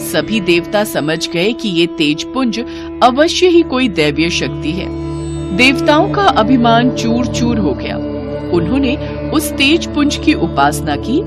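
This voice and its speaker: A woman's voice: fairly high pitch, very animated, measured pace. A woman narrating a scripted devotional story as a storyteller. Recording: music behind the voice.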